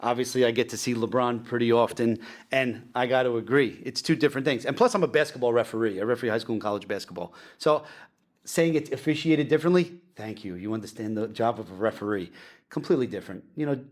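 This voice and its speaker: A man's voice: medium pitch, fast. Enthusiastic man in his forties hosting. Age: 40s. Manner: enthusiastic, eager fan talk with frequent restarts. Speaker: podcast host. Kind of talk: casual interview chat.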